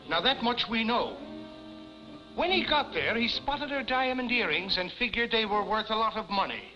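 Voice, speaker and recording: An actor playing a blustering, sarcastic and suspicious police chief in a 1950s television comedy, exasperated and declamatory. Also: male voice, high-pitched. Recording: muffled 1950s television soundtrack.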